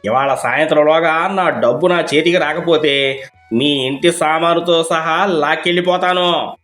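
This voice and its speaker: Casting: male voice as haughty, threatening creditor for animated moral story, mocking delivery. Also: fairly high pitch, a little slow, echoey room, soft background music. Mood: menacing. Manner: menacing, mocking. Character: arrogant, threatening male village moneylender.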